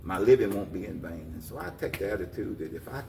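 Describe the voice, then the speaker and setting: An older American man giving an informal interview, talking in a halting, folksy way with repeated phrases. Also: low-pitched, lively intonation, creaky, a little mellow. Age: 60s or older. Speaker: interview guest, community leader. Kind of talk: informal talk-show interview. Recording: roomy echo, distant mic, faint noise.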